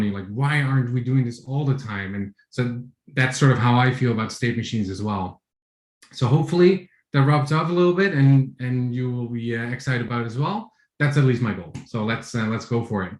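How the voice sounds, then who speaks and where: A man in his forties from the Netherlands with a Dutch accent, giving a relaxed tech talk over a video call, with many fillers and restarts. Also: medium pitch, echoey room. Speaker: conference speaker. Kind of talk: online tech talk with screen share.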